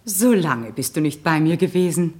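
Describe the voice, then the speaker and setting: Lively, inquisitive gracious hostess. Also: female voice, deep voice, normal speed. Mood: suspicious, concerned. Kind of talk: German radio drama acting.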